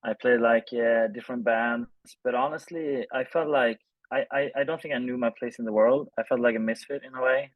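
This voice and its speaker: A man, telling his story casually and haltingly as an interview guest, with informal language. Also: mid-range voice, steady tone, no room sound, phone-quality sound. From Sweden. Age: 30s. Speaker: interview guest, film director.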